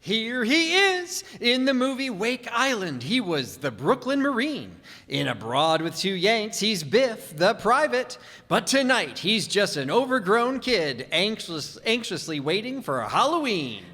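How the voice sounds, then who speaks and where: In an echoing hall, a man plays an earnest 1940s radio announcer. His voice is high for a man and highly animated. He delivers scripted narration in a grand manner. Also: measured pace, few pauses, full character voice. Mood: playful build-up. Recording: echoey hall stage recording.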